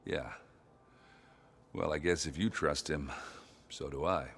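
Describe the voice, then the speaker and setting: Very deep, blunt wisecracking warrior. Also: male voice, slow pace, gravelly, a bit bright, slight background noise, exaggerated voice. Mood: joking, casual. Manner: blunt, folksy, rambling, jokey. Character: gruff, good-natured, wisecracking warrior man, self-deprecating. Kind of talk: naturalistic video game cutscene acting.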